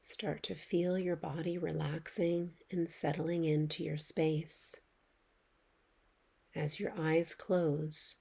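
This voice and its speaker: A woman leading a guided relaxation meditation as a yoga nidra teacher, speaking in a soothing, gentle, measured way with pauses. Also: low-pitched, gravelly, slightly rough.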